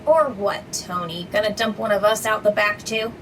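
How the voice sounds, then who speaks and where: An actress playing a sharp-tongued, sarcastic young heroine. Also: female voice, medium pitch, normal speed, noisy background, natural voice.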